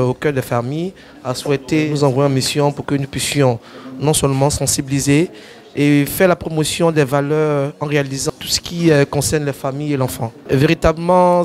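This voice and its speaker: A man answering interview questions into a handheld mic indoors, speaking formally and deliberately, pausing often. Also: fairly high pitch, steady tone. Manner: deliberate, formal, frequent "euh" pauses. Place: indoor conference room.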